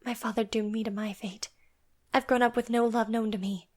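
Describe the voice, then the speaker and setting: A woman playing a compassionate, wounded young servant girl in an audio drama, speaking in a soft, hushed, tender way. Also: fairly high pitch, fairly even intonation, brisk, close to an everyday voice. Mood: sorrowful. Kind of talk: amateur audio drama acting.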